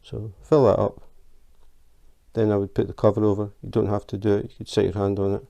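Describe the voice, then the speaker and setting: A Scottish man with a gravelly, warm voice that sits a little low. He talks through a crafting tutorial at an easy, chatty pace, dotted with ums and restarts. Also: flat delivery. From Scotland. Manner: chatty and unhurried, with fillers. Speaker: crafting channel host. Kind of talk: casual tutorial.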